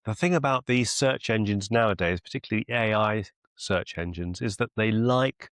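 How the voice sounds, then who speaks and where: A man coaching one-to-one on a video call as a mentor, deliberate and encouraging, often repeating phrases for emphasis. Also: lowish voice, a bit bright, no echo. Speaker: business mentor and clinician.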